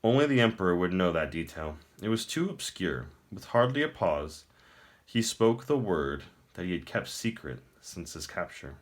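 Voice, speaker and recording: Male audiobook reader, lower register, very expressive pitch movement, dramatic fantasy storytelling. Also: moderate pace. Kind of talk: dramatic scripted narration of fantasy novel.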